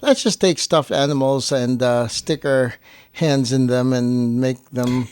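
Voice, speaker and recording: Male guest, casual and anecdotal, mid-pitched, informal delivery, recorded dry. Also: steady tone, a little slow, a little mellow, clean tone, no echo. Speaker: guest on partner's family show. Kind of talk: casual chat, anecdotal storytelling.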